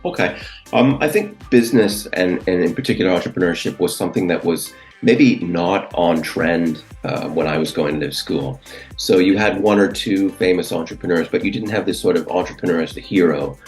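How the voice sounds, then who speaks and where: A man's voice: sits low, normal speed, darkish tone, faint music behind. A middle-aged Canadian man from Vancouver answering as an interview guest, recounting his career in a conversational way.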